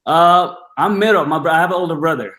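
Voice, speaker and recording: A man's voice: a little high, quick pace, fairly bright tone. Roomy-sounding young man from Bangladesh, casual. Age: 20s. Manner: informal, slangy, enthusiastic. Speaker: podcast guest. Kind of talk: casual personal storytelling.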